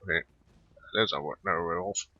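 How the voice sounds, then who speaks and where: A man giving casual, halting commentary over a video game playthrough, mumbling asides and bits of on-screen text. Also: low voice, fairly even intonation. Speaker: gameplay video host. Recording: slightly muffled, dull microphone sound. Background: voice-over on gameplay recording.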